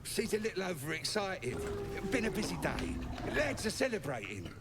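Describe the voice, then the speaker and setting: A man voicing a rough, cocky goblin sentry in a fantasy game, with coarse slangy speech and a gravelly voice. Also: high-pitched, huge pitch swings, normal speed, heavily acted. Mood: cocky, scolding. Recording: noisy game ambience behind.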